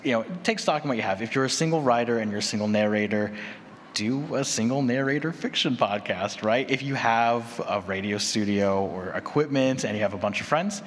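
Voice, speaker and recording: A man's voice: medium pitch, brisk. A man leading a conference presentation, explaining point by point and checking in with "right?" as he goes. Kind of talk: semi-prepared conference presentation. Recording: reverberant hall, clear background noise.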